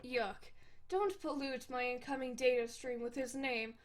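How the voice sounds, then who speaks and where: A woman's voice: sits high, fairly even intonation, measured pace. A woman voicing a haughty, snobbish young man who lectures in a formal way. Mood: lecturing. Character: haughty stiff young male troll, snobbish.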